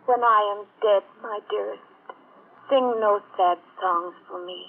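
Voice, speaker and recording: A woman's voice: mid-range voice, measured pace, creaky, husky. A woman playing a dreamy, mournful actress reciting poetry theatrically on a played-back phonograph record. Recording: muffled vintage record, faint noise.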